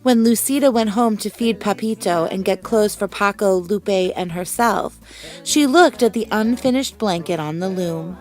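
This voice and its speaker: A woman reading a children's storybook aloud as a teacher, with expressive, deliberate phrasing. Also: mid-range voice, soft background music.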